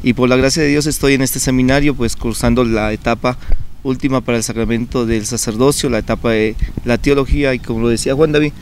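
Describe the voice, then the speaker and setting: A young man from Colombia, a seminarian, calmly and earnestly explaining in a televised interview, with frequent fillers. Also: medium pitch, fast, a little hiss or hum. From Colombia (Bucaramanga, Santander). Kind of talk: conversational TV interview.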